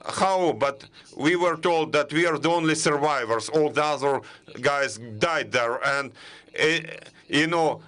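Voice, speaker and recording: A young man from Ukraine giving a halting, hesitant statement at a press briefing, often restarting his sentences. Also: a little high, fairly bright tone. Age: young adult.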